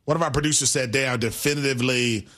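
A man's voice: mid-range voice, steady tone, fast, fairly bright tone. A man from Milwaukee with a Midwestern accent, co-hosting a sports radio show in a laid-back, laughing way. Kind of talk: casual sports radio chat.

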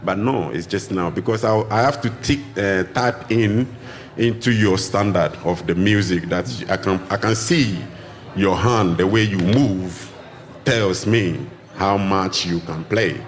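Low-voiced Ghanaian-born drum teacher. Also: male voice, unhurried. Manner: loose, searching phrasing with restarts, acts out greetings in high voices. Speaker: drumming teacher and tour guide. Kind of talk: radio interview answers.

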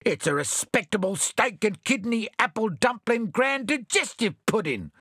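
An indignant, rough-talking koala rants in a raised, high voice, as a man puts him on. The lively delivery rises and falls, and the recording is dry. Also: moderate pace, no echo, exaggerated voice. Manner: indignant, shouting.

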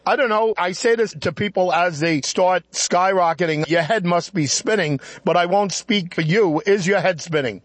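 A man's voice: high voice, moderate pace, hardly any pauses, dry recording. A man hosting a radio interview show, talking in an upbeat, effusive way.

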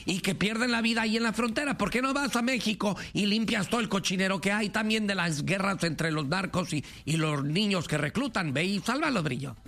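High-voiced male talk-radio host. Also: animated, a little fast, gravelly, few pauses, fairly bright tone. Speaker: radio call-in show host. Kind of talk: lively talk-radio debate with co-host and callers.

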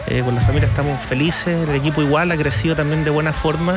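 A man's voice: fairly high pitch, steady tone, fast talker, music in the background. A man with a Chilean accent, chatting casually as an interview guest. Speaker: interview guest, bar owner and sommelier. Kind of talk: casual conversation.

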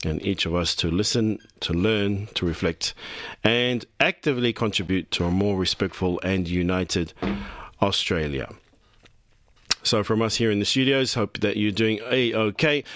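Guy hosting a radio show, lowish voice, rambly, dry studio mic. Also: unhurried, no echo. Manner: warm-hearted, rambling, many fillers and restarts. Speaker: radio host. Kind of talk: community radio presenting and interviewing.

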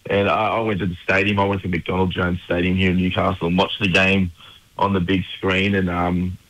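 A man with an Australian accent, a musician guest on a radio show, talking in a relaxed, laid-back way with lots of fillers. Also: low-pitched, fairly quick, no room sound, low-quality recording. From New South Wales, Australia. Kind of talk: casual radio interview.

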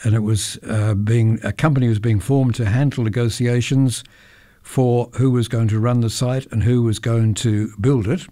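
Australian male radio host, warm mellow tone, dry booth sound, easygoing storyteller between records. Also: mid-range voice, steady tone, normal speed, dry recording. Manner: chatty, anecdotal, occasional self-corrections. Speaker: radio presenter. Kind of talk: relaxed radio chat between songs. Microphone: close studio microphone.

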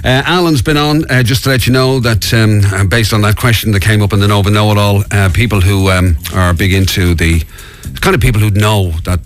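A man's voice: lowish voice, steady tone, fairly quick. A man hosting a morning radio show, chatty and teasing, with plenty of fillers. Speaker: radio host. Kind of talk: casual morning radio banter. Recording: radio broadcast with faint music.

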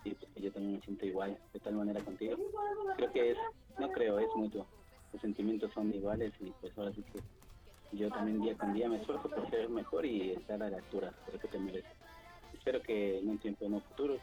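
A man in his forties, speaking softly and hesitantly over a phone call to a radio show. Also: fairly low pitch, fairly even intonation, a little fast, faint music behind. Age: 40s. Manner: soft-spoken and hesitant. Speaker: surprised call recipient.

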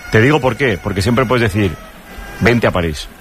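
A man's voice: fairly low pitch, moderate pace, a little hiss or hum. A man with a Spain Spanish accent hosting casual sports radio banter, teasing as he asks questions. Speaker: radio show host. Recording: loud music under the voice. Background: loud music.

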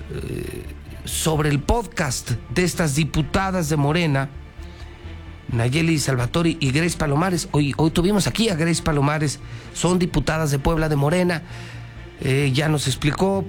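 A man with a Mexican accent presenting radio news with commentary, emphatic and colloquial, often repeating phrases for weight. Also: a little high. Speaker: news anchor. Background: music.

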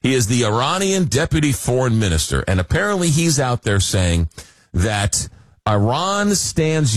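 A man's voice: medium pitch, no echo. A man hosting a talk radio show, cutting in on a caller and giving emphatic opinions. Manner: interjecting, emphatic.

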